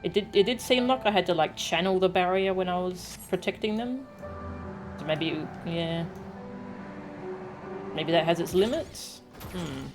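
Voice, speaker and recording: A woman chatting casually and musingly as she streams a game, pausing and hesitating as she thinks aloud. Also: fairly low pitch. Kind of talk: casual live game stream chat with dialogue read aloud. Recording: music behind the voice. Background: game music.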